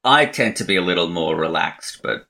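A man's voice: low voice, expressive, moderate pace, vocal fry, sharp tone. A man co-hosting a podcast, giving rambling, opinionated movie talk with many fillers. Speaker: podcast co-host. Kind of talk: casual movie review chat. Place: podcast recording.

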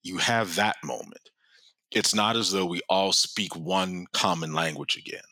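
Very low voiced guy explaining stuff, super bright tone, dry sound, laughs a lot. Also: measured pace, dry recording. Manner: earnest explaining, laughs often. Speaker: guest scholar. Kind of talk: conversational teaching.

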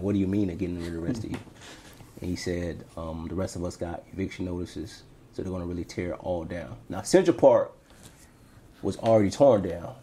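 Brief: man from Tampa, low mellow husky voice, reflective podcast co-host telling his story. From Tampa, Florida, US. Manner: reflective, trails off quietly. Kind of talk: casual interview storytelling.

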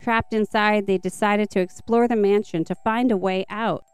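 A woman's voice: medium pitch, fairly even intonation, normal speed, clear, resonant tone, no echo, faint music behind. A woman hosting and reading scripted stories aloud for children. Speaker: podcast host and story reader.

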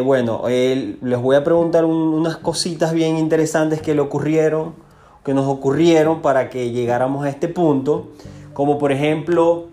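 Male tattoo artist as interview host, Venezuelan accent, slightly high pitch, informal and slangy delivery. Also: dark tone. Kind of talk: casual conversational interview.

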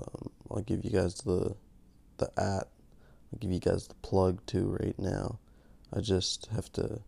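A man hosting his podcast in a casual, rambling monologue, gravelly from just waking up, joking at his own expense. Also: deep voice, a little mellow. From Canada. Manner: rambling, self-mocking, many restarts. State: just woke up. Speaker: podcast host.

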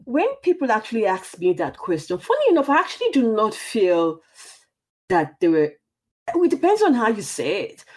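Casting: Nigerian-accented woman, podcast guest, highly varied intonation, animated conversational delivery. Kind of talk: conversational interview.

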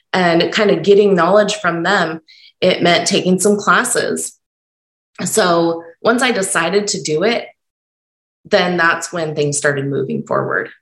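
A woman hosting a solo podcast, talking unscripted and encouraging while stumbling over her words, her voice croaky from being sick. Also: on the low side, a bit bright, echoey room, rough recording. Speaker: podcast host.

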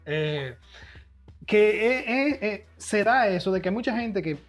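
A man's voice: high voice, animated, slow pace. A man from the Dominican Republic with a Dominican Spanish accent, hosting and earnestly making his point in casual conversation. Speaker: podcast host. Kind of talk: casual interview chat. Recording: dull lo-fi sound with faint music.

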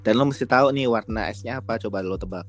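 A man's voice: lowish voice, quick pace, soft background music. A young man from Palembang, co-hosting a casual chat podcast and telling stories with frequent laughs. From Palembang, Indonesia. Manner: chatty storyteller, laughs often. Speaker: podcast co-host.

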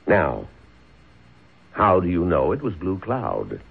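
A man's voice: sits low, huge pitch swings, takes it slowly, gravelly, breathy edge, full character voice. An actor playing a stern, scheming rich father who orders his son about, in old-time radio drama style. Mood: cold, calculating. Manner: stern, commanding, impatient. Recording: muffled phone-like old radio recording.

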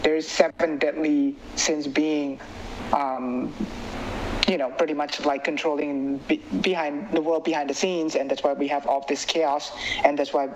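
A man from Indonesia with an Indonesian accent, chatting casually as an interviewed filmmaker in an animated, rambling way full of filler phrases. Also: fairly high pitch, unhurried, noisy background. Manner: animated, rambling, peppers talk with "like" and "you know". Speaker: filmmaker and writer being interviewed.

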